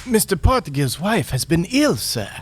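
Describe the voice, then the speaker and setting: An actor playing a meek, kindly clerk in a radio play, deferential and hesitant in speech. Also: male voice, on the high side, very animated, moderate pace, husky. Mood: nervous.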